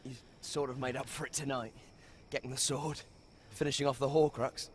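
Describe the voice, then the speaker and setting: An actor playing an earnest, determined young British wizard, speaking urgently in a dramatic game scene. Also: male voice, medium pitch, level tone, normal speed, slight background noise, natural voice. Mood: quiet, consoling. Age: young adult. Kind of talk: dramatic film-style game acting.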